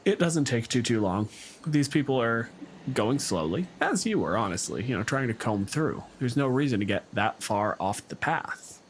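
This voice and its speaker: A man's voice: lowish voice, slight background noise. A man working as game master, narrating a tabletop game in a dramatic, descriptive way. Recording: music behind the voice.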